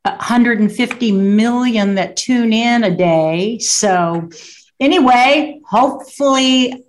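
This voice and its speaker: A woman's voice: fairly high pitch, takes it slowly. A woman hosting a talk show, gushing and rambling enthusiastically about what she loves. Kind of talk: casual interview chat.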